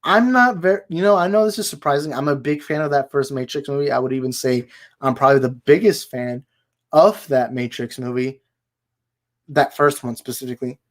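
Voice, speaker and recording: Guy on a livestream with a worn out hoarse voice, rambles, keeps correcting himself, mid pitch. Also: fairly quick. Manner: rambling, self-correcting, laughs easily. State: hoarse, tired voice. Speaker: livestream host. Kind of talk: casual live movie chat.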